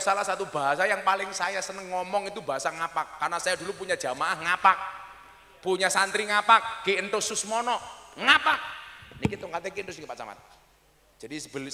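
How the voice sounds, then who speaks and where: A man's voice: high-pitched, bright, forceful tone. A man preaching a comic sermon to a crowd, teasing the audience and slipping into regional dialect for punchlines, on a stage mic. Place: large hall or outdoor stage at a mosque opening. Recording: echoey hall with long reverb.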